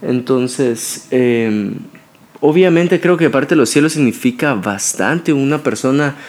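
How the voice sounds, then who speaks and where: A young man chatting as a podcast guest and telling a story in an animated way, with frequent restarts and repeated words. His speaking pitch sits right in the middle for a man. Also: unhurried. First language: Spanish. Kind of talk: casual chat.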